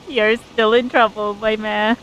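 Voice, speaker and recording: Female streamer: unhurried, offhand gameplay reactions in a mid-pitched voice, faint noise behind. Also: fairly even intonation, fairly bright tone, highs cut off. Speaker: game streamer. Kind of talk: casual live commentary over gameplay.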